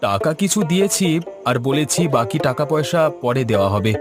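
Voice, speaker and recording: A man's voice: medium pitch, very animated, fairly quick, natural voice. A man voicing a cheerful village biryani shop owner in a cartoon, hearty and eager to please. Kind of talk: Bengali cartoon voice acting. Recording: background music.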